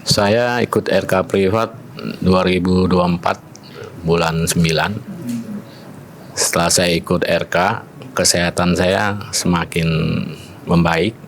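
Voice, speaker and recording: A man giving a plain, halting testimonial as a training participant. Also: deep voice, steady tone. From Jakarta, Indonesia. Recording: faint background noise, room ambience.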